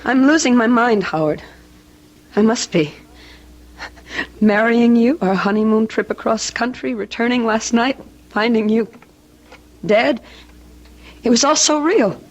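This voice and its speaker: A fragile young bride on the edge of despair in an old radio play. Her voice is fairly high and very slow, halting and pleading. The sound is dull and vintage. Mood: anguished, pleading. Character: fragile, distraught young newlywed woman fearing madness. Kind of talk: old-time radio drama acting.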